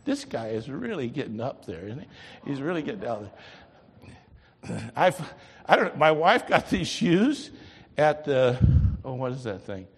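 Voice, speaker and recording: An older man preaching a sermon as pastor, lively, swinging from loud to soft. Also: mid-range voice, unhurried, husky, long reverb tail.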